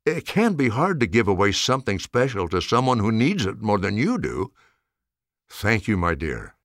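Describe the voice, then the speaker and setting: A kindly, needy street woman in a storybook, put on by a man. The delivery is soft and pleading, with wide melodic swings and a dry sound. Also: lowish voice, big pitch swings, normal speed, dry recording. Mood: pleasant, grateful. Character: cold, needy woman beggar, kindly and grateful.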